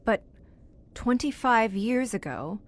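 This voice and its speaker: A woman's voice: a little high, big pitch swings, takes it slowly. An actress playing an earnest, inquisitive woman in an audio horror drama, questioning with appalled fascination. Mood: curious.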